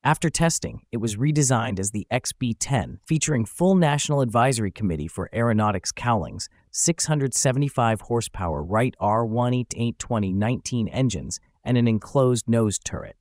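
A man narrating a scripted aviation history video in an even, factual reading. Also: medium pitch, moderate pace, few pauses, no room sound.

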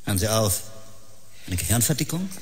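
A husky, fairly deep man plays a wary superior in a radio drama. He is stern, with animated pitch. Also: fairly quick. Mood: wary, disapproving. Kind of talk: audio drama acting.

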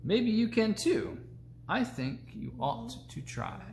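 A woman reading a children's picture book aloud, playful and expressive, acting out the sound words. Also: fairly high pitch, takes it slowly, creaky, background noise. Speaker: storytime reader. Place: indoors, seated close among children. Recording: roomy, echoey home recording.

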